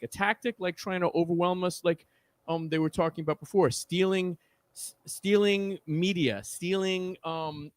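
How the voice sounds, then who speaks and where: A man's voice: on the high side, no room sound. A man with a New York accent speaking as a guest organizer in an impassioned podcast discussion, earnest and preachy, often restarting his words.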